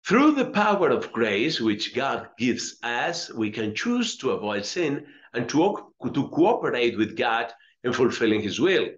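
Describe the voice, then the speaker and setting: A middle-aged man from Colombia with a Spanish accent, a friar teaching a religious lesson, reading aloud and explaining deliberately, repeating phrases for emphasis. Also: medium pitch, big pitch swings, lo-fi sound. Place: small indoor room with curtains.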